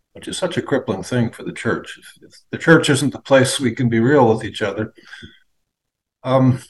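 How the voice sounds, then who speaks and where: An American man in his fifties, giving a reflective faith testimony as an interview guest, measured and hesitant with frequent fillers. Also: medium pitch, rough recording. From United States. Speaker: guest, aid organization leader.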